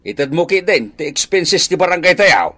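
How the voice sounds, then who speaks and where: A man with a Filipino accent playing a bossy, exasperated village captain in a radio drama, scolding with emphatic, drawn-out words. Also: on the high side, very animated, pushed and bright, heavily acted. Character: exasperated village captain bossing his staff around.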